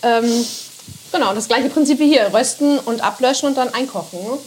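A woman's voice: a little high, moderate pace, darkish tone, a little hiss or hum, rough recording. A woman guest cook explaining sauce-making, agreeable and often affirming. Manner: agreeable, many "genau". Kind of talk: explaining cooking steps.

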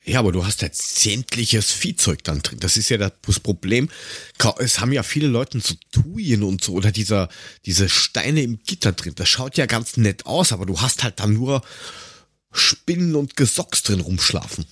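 A man co-hosting a podcast in casual, joking chat, rambling and haltingly searching for words with a dry sarcastic streak. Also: on the low side, expressive, fairly bright tone, slightly rough, no room sound. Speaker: podcast co-host.

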